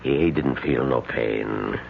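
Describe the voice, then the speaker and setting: An actor playing a gruff, plain-spoken veteran police sergeant with a gravelly voice in an old-time radio drama. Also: male voice, extremely low pitch, unhurried, exaggerated voice. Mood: awkward, sympathetic. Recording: dull, hissy old radio recording.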